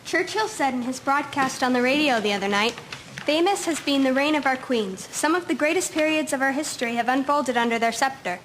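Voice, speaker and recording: Female actor as very high-voiced serious schoolgirl. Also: fairly quick, a bit bright, slight background noise. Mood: earnest. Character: serious, well-informed 1950s schoolgirl. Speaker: actor in an amateur history drama. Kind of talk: scripted amateur audio drama.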